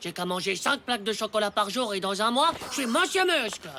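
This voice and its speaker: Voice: mid-range voice, very animated, brisk, noisy background. An actor voicing a cheeky, stubborn ten-year-old schoolboy, grumbling and mocking in slangy French cartoon style. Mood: cocky. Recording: prominent music behind the voice.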